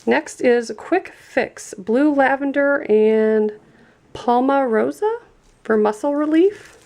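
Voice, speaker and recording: A woman's voice: sits high, lively intonation, takes it slowly, darkish tone. A woman reviewing and unboxing a gift set in a chatty, enthusiastic way, sounding stuffy and congested from a cold. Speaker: product reviewer.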